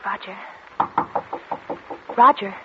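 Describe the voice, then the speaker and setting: An actress playing a fragile, desperate concert pianist in a breathless, insistent 1940s radio style. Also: female voice, medium pitch, drawn-out words. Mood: pleading, secretive. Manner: breathless, insistent, repeating herself. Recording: muffled, noisy 1940s radio recording.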